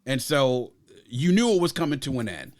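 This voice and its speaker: A man's voice: mid-range voice, big pitch swings, moderate pace, darkish tone. A man hosting a music review podcast, giving opinions in an emphatic, conversational way and repeating phrases for emphasis. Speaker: podcast host.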